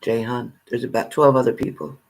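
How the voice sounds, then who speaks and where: A man's voice: medium pitch, little pitch movement, brisk, rough recording. A man hosting a livestream, chatting casually and informally with viewers as he goes. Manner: informal, addresses viewers by name. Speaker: livestream host. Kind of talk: casual live chat with viewers.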